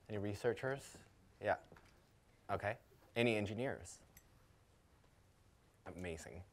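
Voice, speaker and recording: Low-voiced Danish man giving a casual talk. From Denmark. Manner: casual, many fillers and restarts. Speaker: conference speaker. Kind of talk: conference talk. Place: conference hall.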